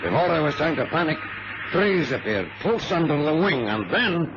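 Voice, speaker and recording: An actor playing a brisk, precise ex-colonel who tells his adventure in a formal radio-drama style. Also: on the high side, big pitch swings. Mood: dramatic. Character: brisk ex-colonel plane passenger, an orderly organizer. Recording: noisy muffled vintage radio drama recording.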